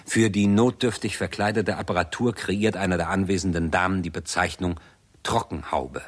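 A man's voice: sits low, steady tone, normal speed, breathy edge. A man reading scripted narration for a 1960s radio play.

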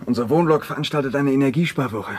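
An actor playing a disillusioned, irritable environmental activist, argumentative and scornful, in a science-fiction radio drama. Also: male voice, mid-range voice, fast, noisy background, natural voice. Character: irritable, disillusioned eco-activist living in cramped cabin. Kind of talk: 1970s radio drama dialogue.

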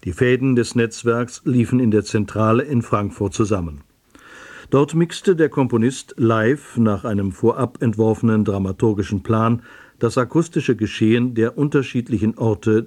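A male radio announcer reads a scripted introduction in a formal, measured way. His voice sits at an ordinary middle pitch. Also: a little mellow.

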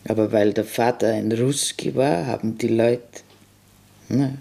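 A man's voice: mid-range voice, fairly even intonation, a little slow, vocal fry, mellow, pitched above the performer's own voice. A man voicing an ancient, bitter village innkeeper woman who speaks bluntly in a radio play. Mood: grim. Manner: blunt, curt, grim dialect-tinged speech. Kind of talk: German radio play acting.

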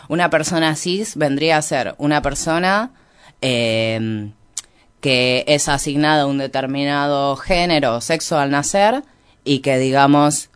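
A man with a Rioplatense Argentine accent and a very clean, resonant voice of medium pitch. He speaks as an interview guest explaining ideas. His delivery is reflective and halting, with hedges and reworded phrases. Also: smooth. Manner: halting, reflective, frequent hedging and self-correction. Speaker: interview guest, activist and researcher.

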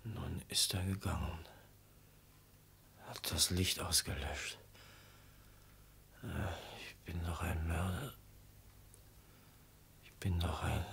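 An actor playing a travelling salesman in a radio play, muttering haltingly and repeating himself. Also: low-pitched, gravelly, bright, forceful tone, exaggerated voice. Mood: drunken, confused despair. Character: travelling textile salesman, drunk and guilt-ridden, then brisk. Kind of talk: German-language radio play acting.

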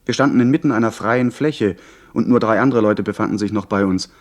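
Actor as low-voiced earnest male narrator. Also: a little fast, lightly acted. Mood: measured, literary. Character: earnest young gentleman recalling his pirate adventure. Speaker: first-person narrator. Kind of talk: scripted dramatic narration.

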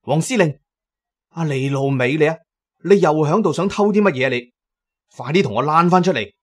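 A man's voice: fairly high pitch, lively intonation, a little fast, pitched above the performer's own voice. A man playing a bossy, exasperated tomb raider who barks mock-military orders in an audio drama. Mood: mock-stern, scolding.

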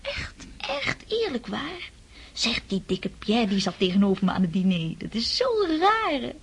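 A woman playing a warm-hearted, impulsive young girl in a radio play, soothing and eager in manner. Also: fairly high pitch, huge pitch swings, moderate pace, slightly rough, heavily acted. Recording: faint background ambience noise.

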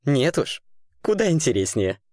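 Woman voicing a low, eccentric storybook weaver man. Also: big pitch swings, normal speed, dark tone, no room sound. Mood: impatient glee. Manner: archaic servile "-s" endings, coaxing endearments. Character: eccentric male weaver in a hat, gleeful and tender.

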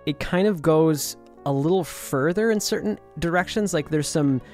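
A man's voice: on the high side, moderate pace, darkish tone. Upbeat man gushing about music. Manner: enthusiastic, laughs often. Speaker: co-host. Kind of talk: casual chat about music.

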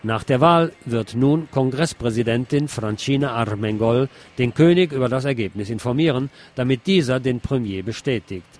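A man's voice: medium pitch, moderate pace, hardly any pauses, a little mellow. A man reading scripted news in the role of a radio news presenter.

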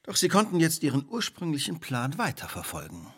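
An actor playing a shrewd, relentless detective in a radio drama, speaking precisely, formally and theatrically. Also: male voice, a little high, wildly swooping pitch, brisk, breathy edge, heavily acted. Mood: accusing, assured. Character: shrewd, relentless male detective laying out a crime.

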